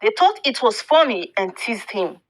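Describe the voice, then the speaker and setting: A woman narrating a scripted folktale in a deliberate, expressive storybook manner. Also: fairly high pitch.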